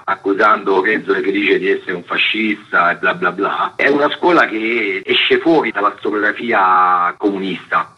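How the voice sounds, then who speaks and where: A man's voice: fairly low pitch, breathy edge, lo-fi sound. A middle-aged man, explaining things as an academic guest in a didactic, digressive way. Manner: didactic, digressive, with rhetorical tags. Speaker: historian and author, interview guest. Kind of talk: academic explanation in a radio interview.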